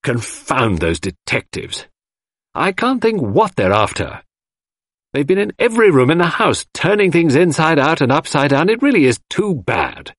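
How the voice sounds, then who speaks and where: A man voicing a good-natured gentleman in an audiobook reading, speaking in indignant, clipped exclamations. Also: fairly high pitch, very animated, normal speed, dry recording, studio quality, voice pushed up. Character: good-natured country gentleman, now irritated.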